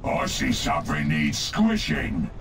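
A man voicing a huge, menacing brute in a video game, crude and swaggering, deep and gravelly. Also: huge pitch swings, measured pace, heavily acted. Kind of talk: video game creature acting. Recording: distant voice under loud music.

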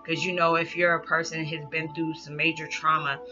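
A woman in her thirties giving a loud, animated motivational talk to her camera in a small living room, recorded on a laptop's built-in mic. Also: deep voice, a little fast. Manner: animated, loud, rowdy, lots of hand gestures. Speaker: vlogger. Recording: dull lo-fi laptop audio with background music.

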